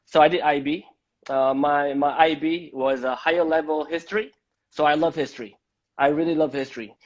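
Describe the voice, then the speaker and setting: Malaysian guy hosting a live stream chat, bit high voice, repeats his words a lot, muffled lofi sound. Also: fairly even intonation, brisk. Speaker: host of a live debate. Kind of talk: conversational live-stream interview. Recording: dull, lo-fi live-stream audio.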